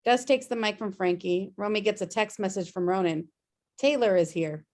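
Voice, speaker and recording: Woman narrating a script, medium pitch. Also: normal speed, a little mellow. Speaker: narrator reading stage directions. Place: remote video call table read. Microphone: video call.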